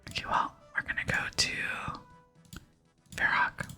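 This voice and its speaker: An ASMR gaming host whispering casual commentary, softly murmuring thoughts aloud while playing. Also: a little slow, faint music behind. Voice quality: soft breathy whisper. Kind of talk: ASMR gameplay commentary, reading dialogue aloud.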